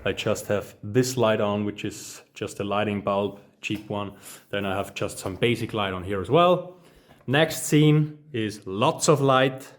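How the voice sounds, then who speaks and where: A man's voice: lowish voice, a little slow. German-accented man teaching smart home lighting. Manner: enthusiastic, casual, addresses viewers as guys. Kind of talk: hands-on tutorial walkthrough. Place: office studio room, indoors. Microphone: phone camera held while walking.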